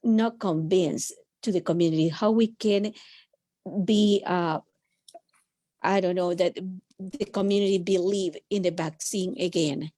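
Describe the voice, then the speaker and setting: Halting woman from El Salvador, mellow tone. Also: lowish voice, steady tone, dry recording. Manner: halting, searching for words. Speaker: audience member asking questions.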